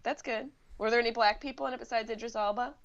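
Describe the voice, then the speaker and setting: A woman's voice: a little high, steady tone, fast. A woman guesting on a podcast, chatting in a slangy, joking and sweary way. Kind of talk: casual banter. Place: podcast studio. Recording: slightly dull studio podcast sound.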